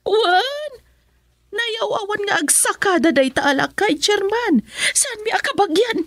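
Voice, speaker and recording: A woman acts out a fussy, haughty village woman with an Ilocano accent. Her voice is high and swoops animatedly through exclamations, in radio comedy drama style. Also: lively intonation, measured pace, a bit bright, no echo, clean studio sound, full character voice. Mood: haughty, dismissive. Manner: exclaiming, dismissive.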